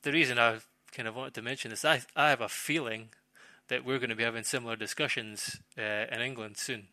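Guy doing football punditry, really sharp bright voice, kinda halting, dry close sound. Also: medium pitch, steady tone, no room sound. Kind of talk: discussion, off-the-cuff analysis.

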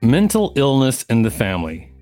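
Mid-pitched man reading over soft guitar music. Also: huge pitch swings, moderate pace. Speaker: podcast co-host reading an encyclopedia article. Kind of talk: scripted narration read aloud, with brief casual commentary.

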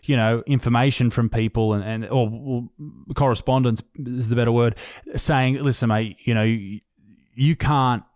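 A man's voice: mid-range voice, steady tone, normal speed, a little mellow, no echo, clean studio sound. An Australian man hosting a podcast in casual chat, blunt and swearing freely in loose run-on talk. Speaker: podcast host.

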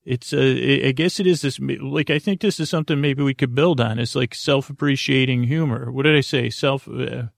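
A man's voice: mid-range voice, steady tone, normal speed, vocal fry, hardly any pauses, dry recording, clean studio sound. A man hosting a sleep podcast, rambling through a meandering bedtime monologue with many restarts and self-interruptions.

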